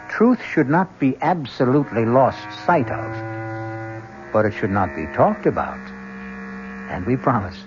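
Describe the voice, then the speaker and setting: A man narrating as host of a radio mystery series in a measured, theatrical scripted style. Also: mid-range voice, noisy background. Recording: muffled old broadcast with music.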